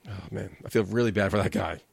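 A man's voice: lowish voice, quick pace, creaky, slightly rough, dry recording. A man, hosting a casual solo podcast, chatty and joking with his listeners. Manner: chatty, self-deprecating, joking asides to listeners. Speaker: podcast host.

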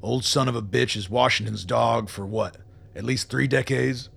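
A very gravelly, angry army colonel. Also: male voice, medium pitch, normal speed, fairly bright tone, exaggerated voice. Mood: hostile, accusing. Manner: gruff, profane, interrogating. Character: angry, unraveling military colonel, commanding and suspicious. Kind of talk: radio play acting.

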